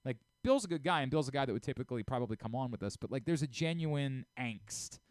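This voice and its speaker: A man's voice: medium pitch, lively intonation, quick pace, no room sound. A man hosting a sports radio show in casual discussion, emphatic and repeating phrases to press his point. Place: radio studio.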